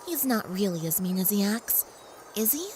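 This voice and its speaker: A woman's voice: mid-range voice, big pitch swings, moderate pace, darkish tone, close to an everyday voice. A woman playing a curious, polite young magical pony in an audio drama. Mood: inquisitive. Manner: inquisitive, courteous. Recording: ambient noise behind voice.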